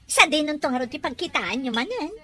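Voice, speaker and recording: A nosy, excitable young woman egging on her friend, acted by a woman in an audio drama. The voice is piercingly bright and very high, swinging up and down over music. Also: a little fast, exaggerated voice. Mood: eager, teasing. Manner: excitable, exclamatory. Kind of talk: Ilocano audio drama acting.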